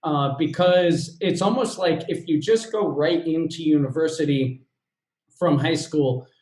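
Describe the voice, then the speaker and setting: A man from the United States hosting an interview, talking in a relaxed, easygoing way with fillers. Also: a little high, a little slow. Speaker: host. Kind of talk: casual interview chat. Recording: echoey room.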